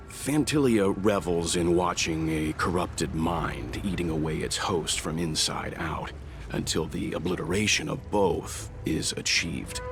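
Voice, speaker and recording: Deep, gravelly, learned gentleman. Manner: measured, formal, reasoning aloud. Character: calm, analytical, learned older gentleman adviser. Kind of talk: video game voice acting.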